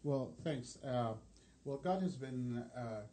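A man's voice: mid-range voice, animated, takes it slowly, dark tone. A man who is a native Arabic speaker, a missions pastor talking as an interview guest, hesitant with many fillers and restarts.

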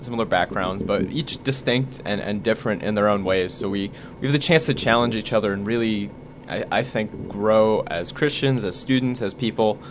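A young man, a student, speaking haltingly with fillers in a group discussion. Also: on the low side. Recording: background noise.

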